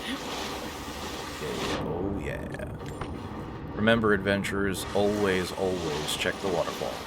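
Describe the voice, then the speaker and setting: A man's voice: deep voice, unhurried, very noisy. A man hosting a game playthrough with casual, joking commentary and playful mock-heroic asides. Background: video game sounds.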